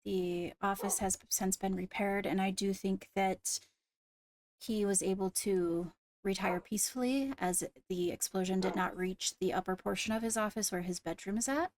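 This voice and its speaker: A woman playing a composed court leader in a Minecraft roleplay, speaking formally and haltingly as she gives a briefing. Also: medium pitch, steady tone, a little mellow, slight background noise, close to an everyday voice. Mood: measured, serious. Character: measured female court leader briefing on attacks. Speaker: roleplayer leading a court meeting.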